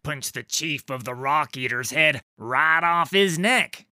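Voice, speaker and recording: A man's voice: a little high, slow pace, sharp tone, no echo, studio quality, pitched above the performer's own voice, full character voice. A man voicing a dim, wheezing rust-hided troll. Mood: gossipy.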